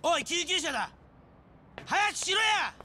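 A man's voice: extremely high pitch, big pitch swings, measured pace, pushed and bright, a little hiss or hum, full character voice. An actor playing a frantic, aggressive yakuza henchman in a video game, his voice strained. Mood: desperate aggression. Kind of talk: dramatic video game acting.

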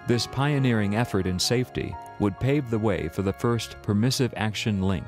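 A man's voice: fairly low pitch, normal speed, faint music behind. An American man reading scripted documentary narration.

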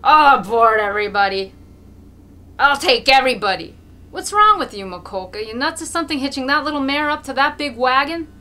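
A woman's voice: high voice, animated, brisk, voice pushed up. Woman acting a bellowing drunk peasant brute. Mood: rowdy, boastful. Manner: shouting, coarse, bullying. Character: drunk, brutal peasant man bellowing, gleeful and furious.

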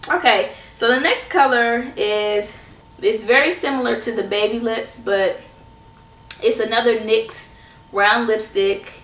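A woman in her twenties, a little hoarse from a cold, reviewing lipsticks in a chatty, reassuring way, teasing herself now and then. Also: on the high side, a little slow, a bit bright. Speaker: beauty vlogger. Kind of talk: casual product review. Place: small indoor room at home. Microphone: camera in front at close range. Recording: echoey room.

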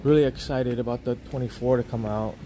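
A man's voice: medium pitch, steady tone, brisk, mellow, slight background noise. A young man walking through a beach resort, talking casually into a phone on a gimbal, rambling with many fillers and restarts. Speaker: travel vlogger. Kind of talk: casual walking tour commentary.

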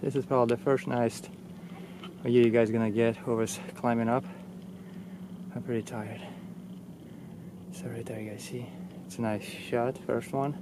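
A man's voice: medium pitch, flat delivery, moderate pace, pauses often. A man in his thirties chatting to camera while hiking an outdoor mountain trail, filmed at arm's length. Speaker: vlogger. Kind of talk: casual travel vlog. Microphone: handheld camera at arm's length. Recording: loud outdoor noise on camera mic.

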